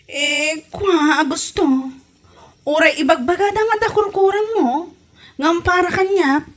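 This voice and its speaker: A woman playing a kind, patient young village woman with an Ilocano accent in a comedy drama, gentle in her delivery. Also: high voice.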